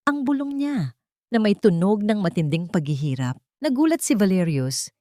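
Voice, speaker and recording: A woman's voice: medium pitch, big pitch swings, moderate pace, dark tone, no echo, studio quality. A woman giving a scripted, dramatic narration of an audio love-and-betrayal story.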